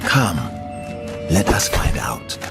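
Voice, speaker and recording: A man's voice: slow, over loud music, close to an everyday voice. A man playing a noble, solemn warrior king in a video game, speaking formally. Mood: resolute. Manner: stately, formal. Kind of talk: video game cutscene acting.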